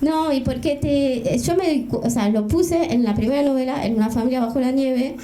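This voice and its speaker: A hesitant middle-aged woman from France, talking on a panel with a handheld mic, her voice fairly high and very mellow. The sound is roomy, with the echo of an event hall. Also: moderate pace, a little hiss or hum. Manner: hesitant, searching for words. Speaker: panelist, writer. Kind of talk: panel discussion.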